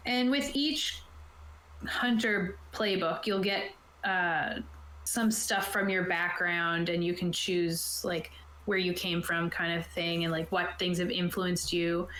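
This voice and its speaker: A woman's voice: fairly low pitch, fairly even intonation, slow pace, fairly bright tone. A woman chatting casually and enthusiastically as a game master about tabletop roleplaying, thinking aloud as she goes. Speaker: game master and show host. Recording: roomy echo, faint background noise.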